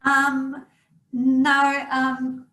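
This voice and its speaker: A woman moderates a library talk, reading audience questions aloud in a gentle voice pitched a little high. Her mic catches a roomy echo around her. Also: completely flat delivery, drawn-out words.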